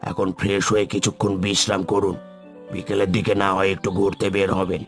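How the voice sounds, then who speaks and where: A man's voice: fairly low pitch, little pitch movement, brisk, soft background music, close to an everyday voice. A man as a polite, cautious local host. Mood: welcoming. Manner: polite, advising. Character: cautious local host warning young guests about nightfall.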